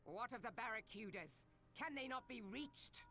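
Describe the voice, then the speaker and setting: A woman playing a commanding fantasy admiral in a video game, issuing crisp, authoritative orders. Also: on the high side, animated, moderate pace, sharp tone, faint music behind. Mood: terse. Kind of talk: dramatic video game acting.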